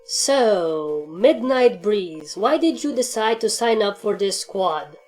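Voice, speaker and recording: A woman's voice: mid-range voice, animated, slow pace, a little mellow. A woman voicing a stern, easily annoyed mare who commands a squad of ponies, speaking in a commanding, matter-of-fact way over music in a story reading. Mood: businesslike questioning.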